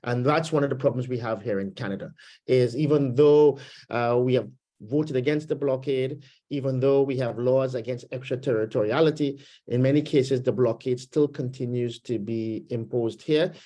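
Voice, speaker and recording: A man with a Trinidadian accent speaking as a webinar panelist, measured and thoughtful, pausing often with fillers over a video call. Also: mid-range voice, dark tone. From Trinidad and Tobago roots. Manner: measured, pauses with many fillers. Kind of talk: panel discussion, political commentary. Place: home, Canada.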